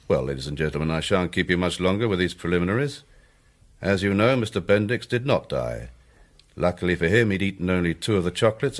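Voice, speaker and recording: An English amateur detective narrating in a period drama. His voice is fairly low, and he lays things out formally, with courteous, measured phrasing.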